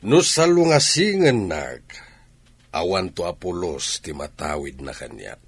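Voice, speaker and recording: A man playing a stern, controlling father in an Ilocano audio drama, with a husky voice. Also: sits low, sing-song, measured pace, exaggerated voice. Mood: stern, threatening. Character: stern, controlling rich father threatening disinheritance.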